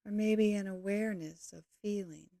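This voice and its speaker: A woman leading a guided yoga nidra meditation, speaking calmly and gently in slow, measured short phrases. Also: mid-range voice, vocal fry, dry recording, studio quality.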